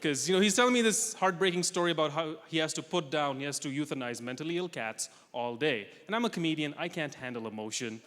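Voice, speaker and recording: A young male comedian with a bright, forceful voice and a slightly higher pitch, trading in dry, self-mocking jokes. He was raised in Russia and works a festival stage. His voice rings out on a live recording with a long hall reverb and faint room noise behind it. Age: young adult. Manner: dry, self-mocking punchlines with quick asides. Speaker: stand-up comedian. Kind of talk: stand-up comedy set.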